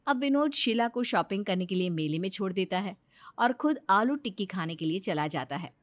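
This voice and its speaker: A woman narrator reading a scripted children's story in an expressive storytelling manner. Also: medium pitch, brisk, no echo.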